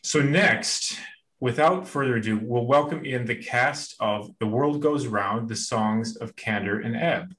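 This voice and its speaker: A man hosts a school video call, his voice sitting at an ordinary middle pitch. He talks haltingly, full of restarts and fillers. The sound is that of a video call. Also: fairly bright tone, low-quality recording. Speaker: school host.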